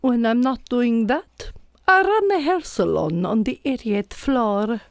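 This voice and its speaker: Woman acting an officious, slow-talking specialist, creaky. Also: a little high, expressive, no room sound. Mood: self-assured. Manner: deliberate, officious, insistent. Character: self-assured, officious female time-paradox specialist and hairdresser. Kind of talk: comic audio drama.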